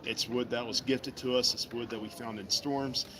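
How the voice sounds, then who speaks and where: A man's voice: medium pitch, fairly even intonation, normal speed, noisy background. A man demonstrating wood carving at his table, chatting in a friendly, folksy way with passing customers. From Virginia. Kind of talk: casual demonstration chat. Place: vendor table in an indoor mall. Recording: busy mall crowd around the table. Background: crowd chatter.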